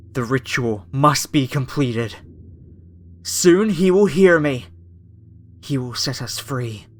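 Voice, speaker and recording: A man playing a gently menacing, fervently devout cult zealot in a horror ASMR roleplay. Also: medium pitch, natural voice. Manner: slow, soothing, solemn, menacingly tender.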